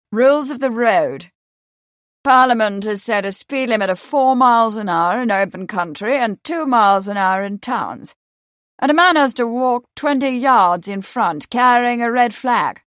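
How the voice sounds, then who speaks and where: A woman voicing a brash, genial young upper-class man in an audiobook, talking emphatically in old-fashioned gentlemanly slang. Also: fairly high pitch, animated, unhurried, dry recording, clean studio sound. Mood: emphatic, indignant.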